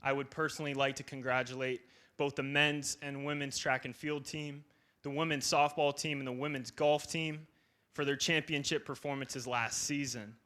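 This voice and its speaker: Earnest young man giving a bright speech. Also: mid-range voice, level tone, normal speed. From northeastern Ohio. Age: young adult. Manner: earnest, formal thanks. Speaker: student athlete. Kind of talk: prepared banquet speech.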